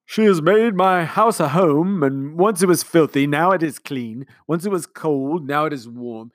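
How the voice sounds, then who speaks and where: A man's voice: on the high side, lively intonation, moderate pace, dry recording, clean studio sound, voice pushed up, natural voice. A man voicing a grandiose, sentimental middle-aged male commander who talks in long, flowery speeches. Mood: grandiloquent. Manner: long-winded, pompous speechmaking.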